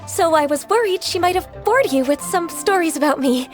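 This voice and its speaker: A woman playing a gentle, anxious and polite young woman in a video game, with a hesitant, earnest manner. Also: extremely high pitch, lively intonation, normal speed, faint music behind. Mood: nervous, relieved. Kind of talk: video game cutscene acting.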